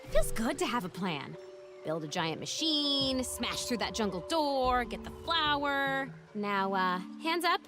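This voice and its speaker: An animated game heroine, young, plucky and cheerful. Her voice is high and very expressive, taking its time. She sounds playful and ready for adventure. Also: unhurried, over music. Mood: upbeat, determined. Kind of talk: animated game cutscene acting.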